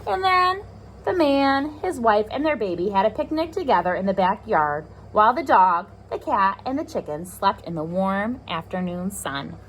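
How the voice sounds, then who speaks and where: A woman reading a picture book aloud to young children, expressive, with chatty asides to her listeners. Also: medium pitch, moderate pace, slight background noise, poor audio. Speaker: pre-K teacher reading aloud.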